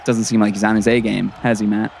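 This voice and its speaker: An actor playing an earnest small-town play-by-play announcer calling a basketball game in a measured announcer's manner. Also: male voice, on the low side, little pitch movement, vocal fry, a little mellow, slight background noise. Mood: earnest concern. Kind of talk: basketball play-by-play in an audio drama.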